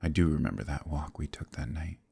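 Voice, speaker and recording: An extremely deep, dark male voice full of gravelly fry , a man acting out a role. He is a haunted, courteous gentleman hiding that he is a werewolf. His soft-spoken, halting, old-fashioned phrasing suits an intimate ASMR audio drama. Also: lively intonation, full character voice. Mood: polite, awkward. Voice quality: gravelly, husky, breathy at times. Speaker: voice actor in an audio roleplay. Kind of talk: dramatic ASMR roleplay monologue.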